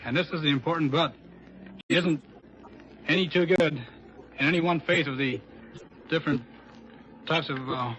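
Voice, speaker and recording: A young American pilot gives measured, deadpan answers on 1940s radio. His voice is a little high for a man. The sound is dull, with faint noise underneath. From Chicago, United States. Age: young adult. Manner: deliberate, deadpan. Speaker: Air Force test pilot, captain. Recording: muffled, noisy 1940s radio broadcast.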